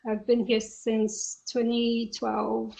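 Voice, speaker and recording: A woman from Brazil with a Brazilian Portuguese accent, a guest on a tech podcast who speaks in a halting way and often pauses to find her words. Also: a little high, monotone, creaky, dark tone, poor audio. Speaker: podcast guest, software engineer. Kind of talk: casual interview talk.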